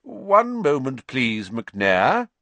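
A man voicing an old male professor, calm and authoritative with dry amusement, in an audiobook story. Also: mid-range voice, dry recording, pitched above the performer's own voice, heavily acted. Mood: calmly amused. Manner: unhurried, drily amused.

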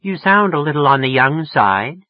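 A man's voice: a little high, big pitch swings, moderate pace, husky, dry recording, highs cut off, voice pushed up, full character voice. A man voicing a brassy, slangy young woman in a story, with a raised, affected feminine voice. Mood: coyly wary. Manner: sharp, slangy, turning affectedly genteel. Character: brassy, irritable young working woman, formerly burlesque, turning coy.

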